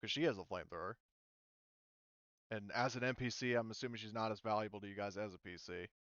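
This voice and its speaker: Smooth, low-voiced man running a tabletop game. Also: a bit bright, no room sound, lo-fi sound. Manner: halting, thinking aloud while handling game tools. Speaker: game master. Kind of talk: casual tabletop game refereeing.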